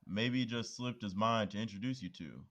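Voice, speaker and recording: A man voices a laid-back young cop who teases his friend in an easygoing way. His voice sits fairly low. He sounds like a character in an audio drama. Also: brisk, fairly bright tone, lightly acted. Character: joking young male police officer.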